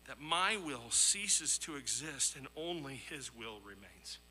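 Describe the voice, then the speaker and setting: A man's voice: medium pitch, lively intonation, takes it slowly, bright, forceful tone, slight background noise, poor audio. A man preaching a sermon in an earnest, emphatic way, as he reads scripture and tells illustrative stories. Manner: earnest, emphatic, with rhetorical questions and repetition. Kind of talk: sermon with scripture readings and anecdotes.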